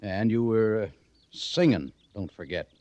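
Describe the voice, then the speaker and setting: Man playing a deep, gruff cavalry officer. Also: measured pace, darkish tone, no echo, dull audio, close to an everyday voice. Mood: vexed. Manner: blustery, apologetic. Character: gruff, vexed army officer at a frontier post. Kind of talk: old-time radio western acting.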